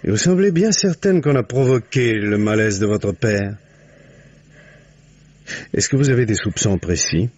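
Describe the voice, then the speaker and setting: A man's voice: fairly low pitch, expressive, a little slow, long pauses, a little mellow, no room sound. A man playing a shrewd, patient police commissioner in a French radio mystery, questioning in a probing, paternal, conversational way with muttered prompts. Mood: probing, unhurried. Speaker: actor in a radio mystery play. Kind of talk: 1950s French radio drama acting. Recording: muffled old radio recording.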